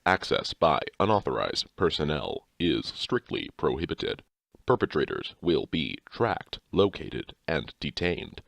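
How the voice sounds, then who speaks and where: A man's voice: rumbling low, very animated, a little slow, gravelly, fairly bright tone, full character voice. A man voicing a cold automated security system for a classified database, making clipped, formal announcements in a sci-fi horror narration. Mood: cold, official.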